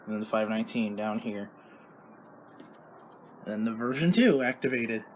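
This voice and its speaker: A man's voice: lowish voice, normal speed, slight background noise. A man chatting casually as he hosts a hobby video about his radio gear. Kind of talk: casual hobby vlog chat.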